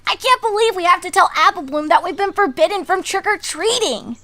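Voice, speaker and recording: A brash, impatient pony filly, as a woman reader puts her on, pitched very high with a bright, sharp edge. She calls out shrilly and sounds fed up. The sound is dry and close. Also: animated, a little fast, no echo. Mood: exasperated. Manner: shrill, exasperated shouting.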